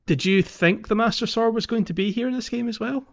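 A man's voice: very high-pitched, fairly quick, gravelly. A man co-hosting a podcast, talking casually through a video game playthrough. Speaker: podcast co-host. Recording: slightly dull sound, background music.

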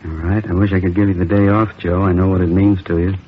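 An actor playing a weary, middle-aged veteran police captain in an old radio drama, terse and subdued but sympathetic. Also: male voice, sits low, flat delivery, quick pace, close to an everyday voice. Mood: sympathetic, resigned. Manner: terse, subdued, clipped sentences. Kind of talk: 1950s radio acting. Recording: tinny, hissy old radio recording.

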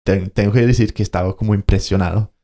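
A man's voice: on the low side, steady tone, fast talker, mellow. A man co-hosting a podcast, telling a story in casual conversation, hesitating and searching for words as he goes. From Colombia. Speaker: podcast co-host.

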